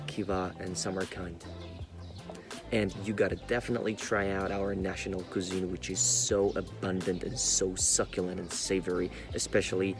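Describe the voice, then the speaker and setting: A man from Uzbekistan pitches his country eagerly, like a salesman. His voice is deep and flat. Clear music plays behind him.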